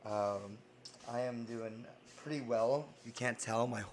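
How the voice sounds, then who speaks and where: A man with a hoarse voice hosting a casual cooking stream, chatting loosely with lots of ums and restarts. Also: mid-range voice, slow, darkish tone. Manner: rambling, many ums and restarts.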